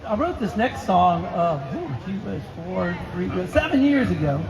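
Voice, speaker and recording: A man's voice: sits high, big pitch swings, a little slow, vocal fry, a crowd in the background. A man in his sixties, a singer-songwriter chatting between songs at a live show, rambling and folksy with plenty of "uh" and restarts. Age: 60s. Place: live music venue. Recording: roomy live sound with loud guitar music.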